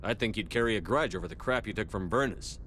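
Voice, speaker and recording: Guy playing a calm reasonable commander in a game, lowish voice, matter of fact, faint rumble behind. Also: fast, natural voice. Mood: probing. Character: steady, reasoning male military commander. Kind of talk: video game dialogue acting. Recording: faint rumbling background noise.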